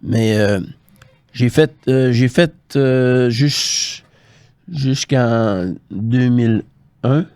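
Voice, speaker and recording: Older quebec guy chatting in an interview, dry sound, normal pitch, tells stories w lots of quoted dialogue, very colloquial. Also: drawn-out words, darkish tone, clean tone, dry recording. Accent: Quebec French. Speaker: guest, former hockey scout. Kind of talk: casual anecdotal storytelling in an interview.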